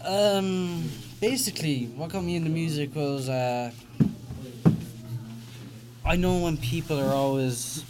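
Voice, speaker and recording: A man's voice: fairly high pitch, slow pace, long pauses. A young man hosting a casual podcast chat, rambling with many restarts and fillers. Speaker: podcast host. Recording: faint background noise.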